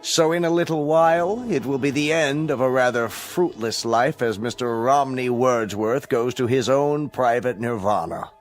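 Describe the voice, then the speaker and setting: An actor playing a cruel totalitarian chancellor in a radio drama, formal, condescending and mockingly polite. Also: male voice, medium pitch, normal speed, few pauses, faint music behind, natural voice. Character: coldly cruel, smug totalitarian state chancellor, a man.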